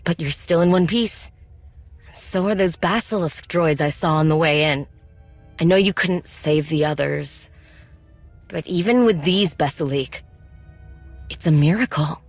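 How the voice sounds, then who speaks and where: Tough practical mechanic, wry, guarded, matter-of-fact, game cutscene, faint music behind. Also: female voice, sits low, animated, measured pace, no echo, full character voice. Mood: guarded concern. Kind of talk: video game cutscene acting.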